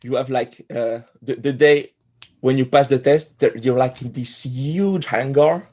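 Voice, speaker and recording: A man in his forties from Corsica with a French accent, a guest telling his story in a podcast interview, pausing often with fillers and restarts. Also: medium pitch, darkish tone. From Corsica, France. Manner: hesitant, many fillers and restarts. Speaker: guest, animator.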